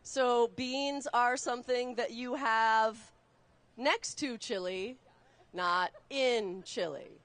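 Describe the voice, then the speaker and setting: A woman chef raised in Texas, explaining a recipe step by step while cooking on a live show, chatty and quick to laugh. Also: fairly high pitch, a bit bright, smooth. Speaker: guest chef. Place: studio kitchen.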